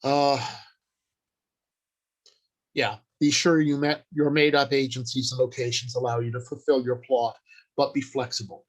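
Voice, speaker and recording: An older man presenting an informal instructional talk in a halting, deliberate, explanatory way. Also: medium pitch, flat delivery. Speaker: workshop presenter.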